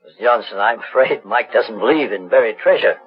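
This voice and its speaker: An actor playing a courteous, composed master investigator in a 1940s radio drama, speaking deliberately and politely. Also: male voice, medium pitch, animated, natural voice. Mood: composed, probing. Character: kindly, measured, courteous male master investigator. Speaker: actor, lead in a detective radio serial. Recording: muffled 1940s radio recording.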